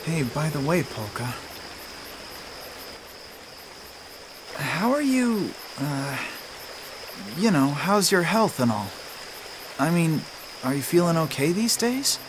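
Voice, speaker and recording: Boyish game hero, lightly high voice, casual, friendly, a bit awkward, clear night-insect noise. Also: male voice, sing-song, measured pace. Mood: hesitant concern. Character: friendly, caring, slightly awkward teenage boy hero. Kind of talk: video game cutscene acting.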